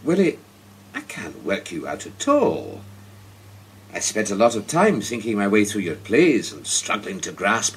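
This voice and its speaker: A man's voice: fairly low pitch, slight background noise. An actor playing a genial, talkative king of England in a radio play, with a theatrical, condescending manner. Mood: musing, affable. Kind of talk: broadcast radio play acting.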